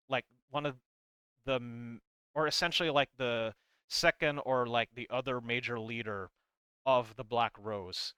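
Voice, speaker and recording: A man's voice: medium pitch, pushed and bright, clean tone, no echo. A man giving a casual, rambling explainer commentary on game lore, as a video creator talking through what he shows. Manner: rambling, hedging, reads comic text aloud between comments.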